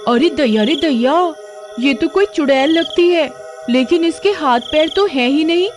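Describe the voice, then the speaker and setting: A woman's voice: high voice, measured pace, over music, pitched above the performer's own voice. A woman playing a sensible, nagging village wife who exclaims and frightens easily, in a cartoon story. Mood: frightened.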